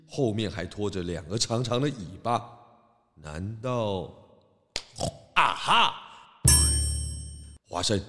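A man playing a low-voiced confident pig detective. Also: a bit bright. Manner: self-assured, jovial, explanatory. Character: confident, clever pig detective, adult male. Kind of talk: children's audio drama acting.

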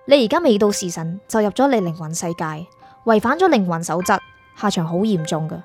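Actress as stern female spirit-world prosecutor, radio drama; curt, impatient, Hong Kong Cantonese, mid-pitched, light music bed. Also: expressive, fairly quick, a little mellow, lightly acted. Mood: stern, official. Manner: curt, authoritative. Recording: music behind.

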